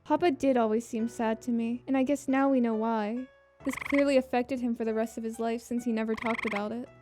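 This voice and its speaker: Uneasy, earnest young sister, female actor, audio drama: high-pitched, dark soft tone. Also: fairly even intonation, a little fast, faint music behind, close to an everyday voice. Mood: spooked. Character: scared young sister hearing her father's dark diary.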